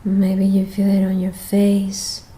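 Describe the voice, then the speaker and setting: A woman guides a meditation in a gentle, soothing way. Her delivery is slow and unhurried, with long pauses between phrases. The tone is relaxed and mellow, and her pitch stays even at a middle height for a female voice.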